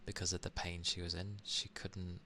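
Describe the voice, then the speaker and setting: A man from England with an English accent, chatting casually on an ASMR stream, soft-spoken and at times drifting into whispers. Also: sits low, level tone, normal speed, slight background noise.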